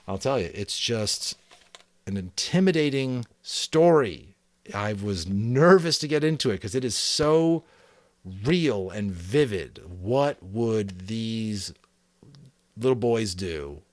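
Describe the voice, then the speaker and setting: Deep-voiced man reading an audiobook. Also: vocal fry, dry recording. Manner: expressive reading, casual rambling asides with fillers. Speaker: audiobook narrator and channel host. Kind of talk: dramatic audiobook reading with chatty asides.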